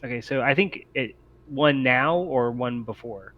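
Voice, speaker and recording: A man's voice: mid-range voice, measured pace, highs cut off. A man acting as game master, explaining turns and rules of a tabletop roleplaying game in hesitant phrasing.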